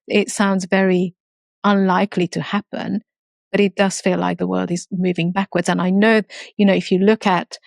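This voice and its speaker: A woman from Romania, talking earnestly as a guest in a podcast interview. Her voice sits a little low and has a gravelly fry, and she stops and restarts her sentences often. The sound is dry and close-miked. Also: little pitch movement, no echo. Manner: earnest, halting with many restarts. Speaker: guest entrepreneur. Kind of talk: casual interview talk.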